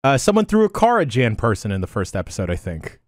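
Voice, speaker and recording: A man's voice: mid-range voice, wildly swooping pitch, quick pace, no echo. A man chatting casually as a podcast panelist, close on a microphone. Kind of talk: casual chat. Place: indoor room, close mic. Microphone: microphone on boom arm, headset.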